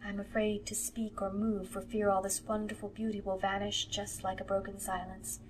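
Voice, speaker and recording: A woman narrator plays an earnest, romantic young woman from a storybook. She speaks properly and gently, in a soft-spoken way at a medium pitch. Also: moderate pace, a little hiss or hum, close to an everyday voice, rough recording. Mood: hushed, wistful. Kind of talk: audiobook character voice.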